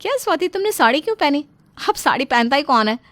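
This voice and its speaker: A woman's voice: big pitch swings, fast, a bit bright, no echo. A woman voicing a snobbish, flashy young daughter-in-law with a haughty, nagging manner in a storybook tale. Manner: haughty, nagging, high-swooping. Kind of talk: storybook character acting.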